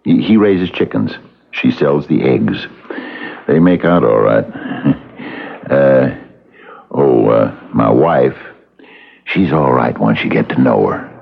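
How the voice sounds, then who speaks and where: A man's voice: extremely low pitch, expressive, slow pace, creaky, long pauses, slightly rough, heavily acted. An actor in an old-time radio drama playing a genial married man, coaxing and soothing in a folksy, hesitant way. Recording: muffled old radio broadcast, music behind.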